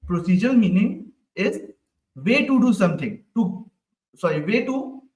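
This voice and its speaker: A high-pitched man runs a live online coding class. He keeps asking the class questions and tags "right?" onto his sentences. His voice sits a little away from the mic and rings in an echoey room. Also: sing-song, normal speed, darkish tone, distant mic. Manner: repeats questions to the class, ends lines with "right?". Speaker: programming instructor.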